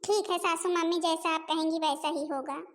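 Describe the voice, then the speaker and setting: Woman playing a very high-pitched polite daughter-in-law. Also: steady tone, moderate pace. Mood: obedient. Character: dutiful young Indian daughter-in-law, polite, long-suffering housewife. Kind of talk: family comedy cartoon dialogue.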